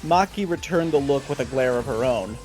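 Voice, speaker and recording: A man's voice: medium pitch, expressive, brisk, a little mellow, soft background music. A man narrating a fan fiction story aloud in a live read-along.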